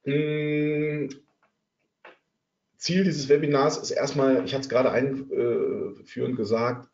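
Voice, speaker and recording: A man with a cold, in his thirties, presenting an online trading webinar in a halting way with many filler sounds. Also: mid-range voice, a little mellow. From Germany. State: has a cold. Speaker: trading coach. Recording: roomy, muffled webinar audio.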